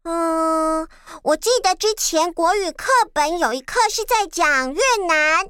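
A woman's voice: very high-pitched, a little slow, a bit bright, dry recording, studio quality. A female co-host with a Taiwanese accent, presenting a children's show in a childlike, cheerful style.

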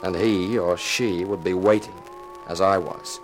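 An actor playing a tough, wry private detective who narrates in a laconic 1950s radio crime drama style. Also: male voice, sits low, steady tone, unhurried, music in the background. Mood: tense, brooding. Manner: hard-boiled, sardonic, laconic. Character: tough, wry male private detective, cool under threat. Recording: noisy vintage radio recording.

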